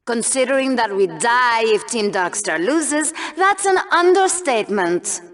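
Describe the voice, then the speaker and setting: A woman's voice: on the high side, big pitch swings, measured pace, a bit bright. An actress playing a brash, scornful female TV co-anchor in a video game, with a theatrical, biting delivery. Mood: indignant. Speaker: show co-host. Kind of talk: over-the-top video game broadcast banter.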